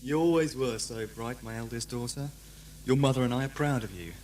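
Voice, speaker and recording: A man's voice: mid-range voice, a little fast, a little mellow, close to an everyday voice. Defiant father and prisoner for audio drama, male actor, mid-range, solemn, formal, declamatory; slightly noisy tape. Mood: grave, resolute. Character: defiant condemned father plotting a prison escape. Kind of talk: amateur audio drama acting. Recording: noisy hum, old cassette fan production.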